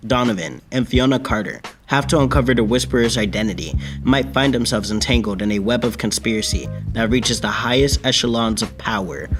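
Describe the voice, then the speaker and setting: A man's voice: medium pitch, natural voice. A man playing a solemn old-time radio announcer, narrating a mystery serial in a theatrical, ominous manner. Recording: music bed behind the voice.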